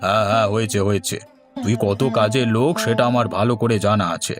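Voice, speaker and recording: A man's voice: fairly low pitch, little pitch movement, quick pace, lightly acted. A man voicing a bossy, suspicious village headman in a cartoon. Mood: bossy, warning. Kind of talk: cartoon dialogue. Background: instrumental music.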